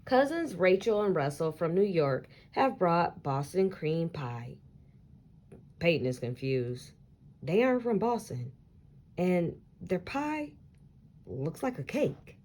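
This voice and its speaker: Slow, deep-voiced woman reading a picture book. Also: very animated, darkish tone. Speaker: picture book read-aloud reader. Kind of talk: expressive children's story read-aloud.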